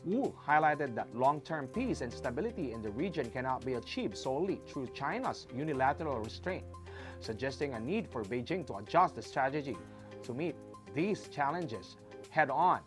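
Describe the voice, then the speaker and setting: Newsreader with lively, rising delivery. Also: mid-range voice. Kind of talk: scripted news narration.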